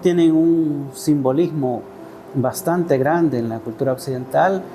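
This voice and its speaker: Salvadoran male writer, soft mellow tone, reflective and halting interview answers, faint ambient noise. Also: fairly high pitch, rough recording. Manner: thoughtful, hesitant, often seeking agreement with tag questions. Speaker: interview guest, poet and writer.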